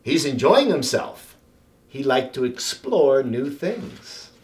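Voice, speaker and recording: A caring, busy lady teacher from a children's storybook, read by a man explains things briskly. The voice moves up and down a lot and sounds roomy. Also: mid-range voice, sing-song, voice pushed down. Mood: explaining. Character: busy, caring female primary school teacher.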